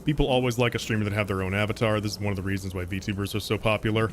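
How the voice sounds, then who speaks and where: A man's voice: on the low side, fairly even intonation, racing. Chill guy streaming from home, lots of uh and like, laughs a bunch, bit of hum in the back. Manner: rambling, restarts and fillers, laughs often. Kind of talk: casual gaming stream chat, reading donations.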